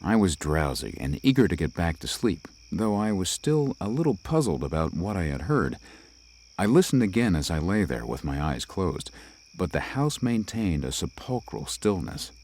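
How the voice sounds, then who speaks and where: An actor playing a young male lawyer who narrates an eerie tale in a literary, measured, dramatic way. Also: deep voice, sing-song, a little mellow, a little hiss or hum. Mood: reflective. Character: young lawyer narrating his eerie stay, uneasy, athletic man. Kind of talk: scripted first-person ghost story narration.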